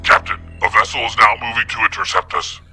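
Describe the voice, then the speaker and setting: A man's voice: fairly low pitch, fairly even intonation, a little fast, pushed and bright, soft background music. A man playing a dutiful bridge officer giving formal reports in a sci-fi parody audio drama. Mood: alert, formal.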